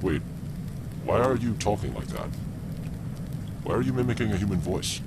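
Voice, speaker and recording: A man's voice: fairly low pitch, expressive, moderate pace. A man playing a suspicious, blunt male wendigo in an audio roleplay, speaking in terse questions. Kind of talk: ASMR audio roleplay acting. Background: loud ambient noise.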